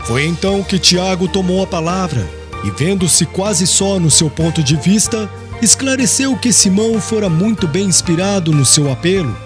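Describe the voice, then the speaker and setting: A man narrating a literary audiobook in a formal, expressive reading style. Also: high-pitched, normal speed, hardly any pauses, over music.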